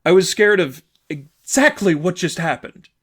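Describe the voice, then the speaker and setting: A man's voice: sits high, very animated, a little slow, breathy edge, voice pushed up, heavily acted. A man voicing an upset teenage girlfriend, wounded and bitter, with emphatic, sarcastic delivery in a fan fiction audiobook. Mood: tearful, hurt.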